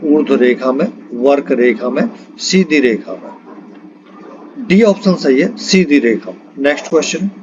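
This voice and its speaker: A man's voice: medium pitch, expressive, measured pace, highs cut off. A man teaching an exam-prep lesson, reading out multiple-choice questions and their answers.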